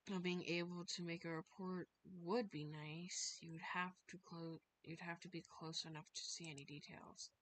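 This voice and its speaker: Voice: mid-range voice, steady tone, normal speed, hardly any pauses, a little hiss or hum. A streamer giving a dramatic narrated reading of a visual novel. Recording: loud music behind the voice. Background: loud music.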